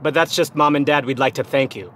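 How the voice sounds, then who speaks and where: A man acting in an audio play as an aggrieved, excitable adult son, indignant, slangy and dramatic. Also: on the high side, fast, slight background noise, natural voice. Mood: exasperated.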